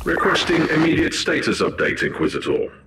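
Video game tech priest: pedantic, condescending, coolly calculating, reverberant voice with music behind. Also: male voice, on the high side, wildly swooping pitch, a little fast. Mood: smug, businesslike. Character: smug, calculating male tech priest advisor.

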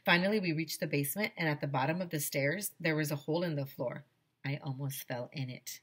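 A woman's voice: low voice, fairly quick, slightly rough. A middle-aged woman reading a children's story aloud expressively, in the manner of a teacher, close on a headset microphone. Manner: animated, acts out dialogue. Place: small decorated home classroom.

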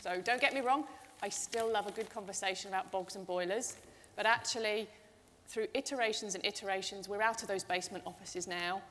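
A woman's voice: mid-range voice, brisk, sharp tone, a little hiss or hum. A middle-aged woman speaking on a conference panel, upbeat and gesturing as she talks. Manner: upbeat, gestures while talking. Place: large event hall stage. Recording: reverberant hall.

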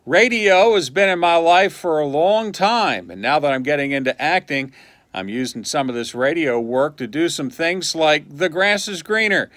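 A middle-aged radio host in a studio, speaking in a smooth announcer style. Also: male voice, on the high side, animated, normal speed, fairly bright tone, no echo.

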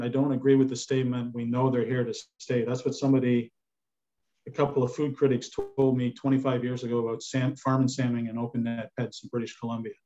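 A man's voice: mid-range voice, warm tone. An older man giving his opinions as a chef on a panel, repeating words for emphasis. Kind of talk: panel discussion. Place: indoors on a video call. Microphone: video call. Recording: slightly dull video-call audio.

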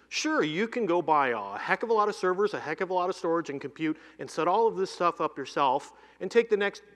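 A middle-aged man giving a live keynote demo on a conference stage, speaking into a headset mic and running through lists with energy. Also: fairly high pitch. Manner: energetic, lists items in quick runs. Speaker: keynote presenter demoing cloud software. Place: large conference hall stage. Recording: long hall reverb.

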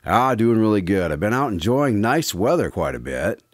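A man's voice: lowish voice, big pitch swings, moderate pace, no echo, studio quality. A man hosting a radio drama, chatting with the main character in a friendly, conversational way. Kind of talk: scripted host chat.

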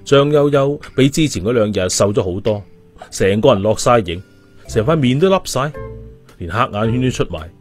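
A man telling a story as an expressive audiobook narrator. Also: mid-range voice, normal speed. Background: music.

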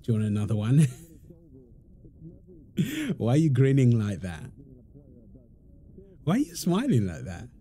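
Mellow, teasing young dad played by a man. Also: medium pitch, wildly swooping pitch, slow, long pauses, a little hiss or hum. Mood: playful. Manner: teasing, soft-spoken, chuckling, informal. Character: playful, affectionate young father teasing his partner. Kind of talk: intimate roleplay audio, casual domestic chat.

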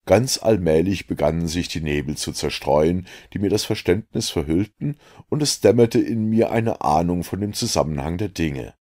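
A man reading a detective novel aloud as an audiobook, in steady storytelling narration. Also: low voice, normal speed, hardly any pauses. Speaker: audiobook reader.

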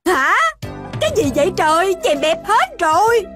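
Hot-tempered cartoon mom, high voice swooping all over, exclaims a lot, bit of music. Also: female voice, sing-song, normal speed, fairly bright tone, heavily acted. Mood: puzzled. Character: excitable, hot-tempered young housewife mother. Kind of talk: Vietnamese cartoon dub. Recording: light music behind.

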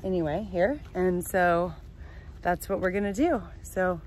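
A woman's voice: on the low side, unhurried, darkish tone, a little hiss or hum. A woman in her forties chatting upbeat with fillers while filming herself on a phone outdoors on a sunny farm. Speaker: vlog host. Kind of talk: casual travel vlog. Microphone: phone at arm's length.

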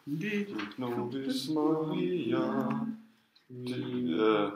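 A man's voice: medium pitch, expressive, drawn-out words. A man taking part in a lecture demonstration, chanting and reciting a text in a hesitant, stumbling way. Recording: echoey room with background noise.

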